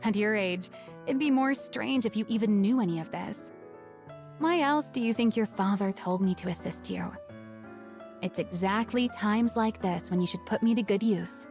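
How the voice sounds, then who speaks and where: A woman's voice: medium pitch, normal speed, darkish tone, faint music behind, close to an everyday voice. A woman playing a wry, composed, supportive female scientist who teases her young charge in a video game. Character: teasing, supportive adult female scientist, mentor to child. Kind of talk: voiced game event dialogue.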